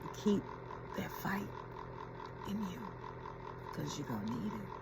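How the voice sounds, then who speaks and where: A woman's voice: low voice, very animated, a little slow, creaky, heavy background noise. A woman giving a reflective inspirational talk as a spiritual healing coach, repeating key phrases and laughing as she retells a story.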